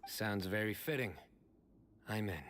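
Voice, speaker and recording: An actor playing a stern, resolute young man in a video game, calm, serious and terse. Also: on the low side, measured pace.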